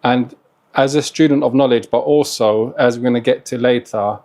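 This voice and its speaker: A man's voice: medium pitch, moderate pace, darkish tone. A man hosting a casual interview, asking questions in a friendly way and filling in with short encouraging replies. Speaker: host, interviewer.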